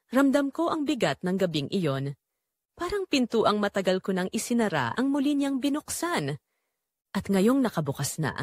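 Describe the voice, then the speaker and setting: A woman reading a romance story as dramatic scripted narration, in a measured, emotive way. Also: mid-range voice, no room sound, clean studio sound.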